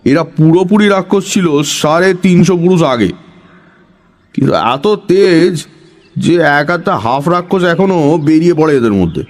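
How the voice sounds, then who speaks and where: A conspiratorial, know-it-all older lad in an audio horror story. The voice sits high and moves widely in pitch. He explains everything with solemn self-assurance. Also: lively intonation, measured pace. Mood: grave, knowing.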